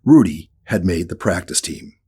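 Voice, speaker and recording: A man's voice: low voice, lively intonation, moderate pace, mellow, slight background noise. A man reading a scripted children's audiobook as the narrator.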